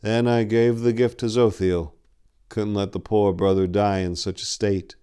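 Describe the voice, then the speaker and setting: A man's voice: lowish voice, fairly even intonation, a little slow, dark tone, clean tone, close to an everyday voice. A man voicing a boastful vampire villain in a storybook reading, with smug, mocking menace. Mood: smug, cold. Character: sinister, boastful, taunting male vampire villain.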